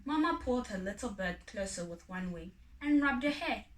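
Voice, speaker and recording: A woman narrating a children's folk tale expressively, with deliberate, dramatic phrasing and pauses. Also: mid-range voice, animated. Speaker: storybook reader. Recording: echoey room, distant microphone.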